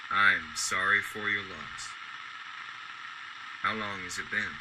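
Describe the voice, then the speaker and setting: A man playing a kindly, melancholy old widowed war veteran in a radio drama, speaking in a measured, wistful way. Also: low voice, fairly even intonation, noisy background. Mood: gentle concern. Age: older.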